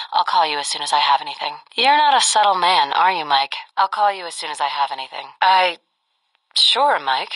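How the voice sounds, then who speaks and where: A wry woman reporter in a spy thriller game. Her voice sits on the low side and has a husky grain. She talks naturally and dryly, teasing and probing while keeping her guard up. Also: fairly bright tone. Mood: sarcastic, teasing. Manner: dry, teasing, conversational. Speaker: video game voice actress. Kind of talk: naturalistic video game dialogue acting.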